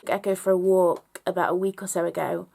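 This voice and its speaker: Slightly hoarse, gravelly woman vlogging. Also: fairly low pitch, little pitch movement, a little fast, mellow. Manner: earnest, reflective, talks straight to viewers. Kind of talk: personal vlog monologue.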